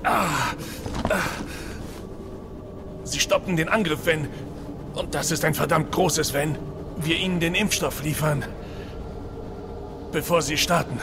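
A man playing a strained, urgent comrade pressing on through danger in a German audio drama, speaking in halting phrases. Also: a little high, noisy background. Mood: strained urgency.

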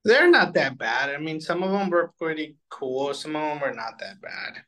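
A man co-hosting in casual chat, tossing in short, jokey reactions and quick to laugh. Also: fairly high pitch, animated, a bit bright. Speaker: co-host of a cartoon countdown show.

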